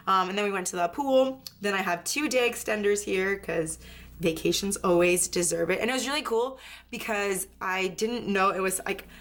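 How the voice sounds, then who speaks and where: A woman's voice: medium pitch, fairly bright tone. A woman in her twenties chatting casually about her weeks in a planner flip-through, rambling and correcting herself as she goes. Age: 20s. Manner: rambling, self-correcting, lots of "like" and "um". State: recovering from illness, voice partly lost. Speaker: planner vlogger.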